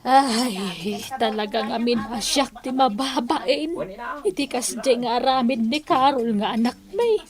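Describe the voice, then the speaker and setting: A woman's voice: a little high, a little slow, lightly acted. A woman acting as a humble, apologetic older mother in an Ilocano radio drama, with a Filipino accent and a plaintive manner. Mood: ashamed, apologetic.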